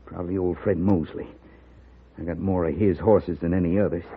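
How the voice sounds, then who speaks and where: A hostile frontier horse thief in a vintage radio western. He talks low in curt, rustic American phrases, full of suspicion. The recording is narrow and plainly noisy, like old radio. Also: fairly even intonation, brisk. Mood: grim, irritable. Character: suspicious, gruff horse thief guarding his backwoods homestead. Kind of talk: 1950s radio western acting.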